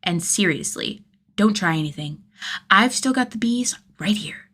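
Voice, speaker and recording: Woman voicing a prim, bossy little boy, higher-pitched. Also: animated, a little slow, fairly bright tone, slightly rough. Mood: prim warning. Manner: pointed, prim, defiant. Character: prim, suspicious, bossy elementary-school boy. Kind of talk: acted audiobook dialogue.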